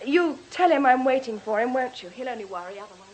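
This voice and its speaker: A woman's voice: sits high, expressive, quick pace, a little mellow. An actress playing a devoted, anxious young woman, earnest and protective, in a radio drama. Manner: earnest, pleading.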